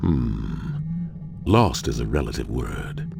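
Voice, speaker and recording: An actor playing a sly, aloof talking cat in a video game, speaking in a dry, languid, sardonic way. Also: male voice, extremely low pitch, big pitch swings, slow pace, vocal fry, music in the background, full character voice. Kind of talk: video game character acting.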